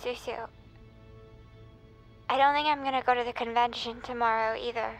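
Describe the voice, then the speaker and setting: A woman's voice: on the high side, fairly even intonation, moderate pace, faint music behind, close to an everyday voice. A woman playing a hurt, apologetic teenage girl in an audio drama, speaking hesitantly in a subdued, natural way. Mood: apologetic, reluctant.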